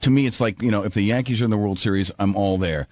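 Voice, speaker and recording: A man chatting casually as a radio show regular, halting and circling back to rephrase himself. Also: on the low side, darkish tone. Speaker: radio show cast member.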